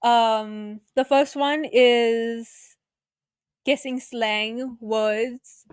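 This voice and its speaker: 18yo woman from south africa, chatty, lots of likes, higher voice, dry close sound. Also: steady tone, smooth, no room sound. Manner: chatty, lots of "like", quick laughs. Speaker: podcast co-host. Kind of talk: casual chat.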